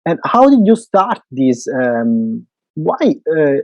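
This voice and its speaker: A man's voice: mid-range voice, very animated, slow, a little mellow, dry recording, muffled recording. A man in his twenties from Italy, speaking English with an Italian accent as a podcast host. He is eager and talkative, and he searches for words. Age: 20s. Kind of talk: casual podcast chat.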